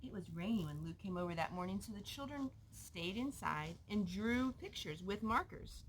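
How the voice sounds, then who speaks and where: Animated woman reading aloud, noisy recording. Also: mid-range voice, moderate pace. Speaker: storyteller reading a picture book aloud. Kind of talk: expressive read-aloud to a young child.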